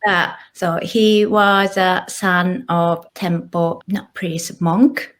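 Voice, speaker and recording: Japanese-accented woman, lowish voice. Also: steady tone, drawn-out words. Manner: thoughtful, with small restarts and light laughs. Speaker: guest, author and illustrator. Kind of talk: casual interview conversation.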